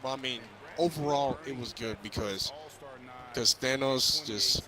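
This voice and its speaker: A man casually discussing a film with a friend, halting and repeating phrases as he thinks. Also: mid-range voice, crowd noise.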